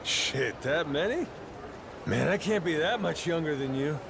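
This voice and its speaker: Cocky rough-talking pirate captain, swooping voice. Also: male voice, a little high, animated, a little slow, noisy background. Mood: casual. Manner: brash, slangy drawl. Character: cocky, rough-talking Yakuza-turned-pirate captain, middle-aged man.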